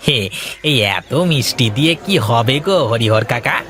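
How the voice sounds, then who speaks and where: A man's voice: mid-range voice, expressive, normal speed, crowd noise, natural voice. A man voicing a friendly, helpful village sweet-shop keeper in a cartoon story. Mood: friendly, brisk. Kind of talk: Bengali cartoon dialogue. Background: music.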